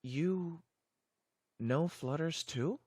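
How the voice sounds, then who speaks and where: A performer voicing an apologetic, flustered father pony in an animated fan drama, stammering and hesitant. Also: mid-range voice, very slow, husky, dry recording, clean studio sound. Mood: flustered, surprised.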